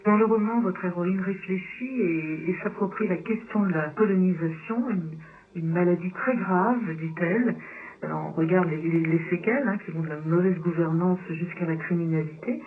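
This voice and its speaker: Tinny radio, measured woman interviewer. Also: background noise. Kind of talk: literary interview questions. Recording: tinny low-bitrate shortwave radio.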